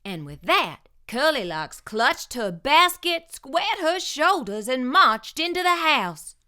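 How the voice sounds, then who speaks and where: A woman hosting a story time and reading a picture book aloud, expressive and sing-song. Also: high-pitched, slow. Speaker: storyteller host.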